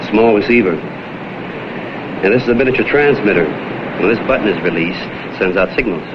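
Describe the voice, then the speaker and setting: An American actor playing a cool, wisecracking private investigator in a vintage detective drama, with clipped, deadpan delivery. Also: male voice, fairly low pitch, fairly quick, close to an everyday voice. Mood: matter-of-fact. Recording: muffled old film soundtrack, noisy.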